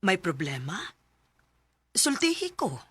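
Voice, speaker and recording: Husky, caring Filipina mother. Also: on the low side, wildly swooping pitch, slow pace, a bit bright, no room sound, full character voice. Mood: concerned. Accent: Cebuano Filipino. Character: caring, wise, observant mother of a grown daughter. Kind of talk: Filipino radio drama acting.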